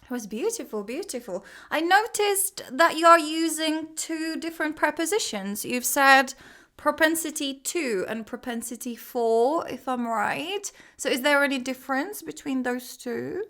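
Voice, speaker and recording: A woman with a high voice, stuffed up and scratchy-throated from a cold. She chats warmly and loosely, full of fillers and endearments, as she runs a casual English lesson. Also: animated, unhurried. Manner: affectionate, chatty, many fillers. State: stuffy nose and sore throat. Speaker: English teacher, channel host. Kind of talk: casual chat.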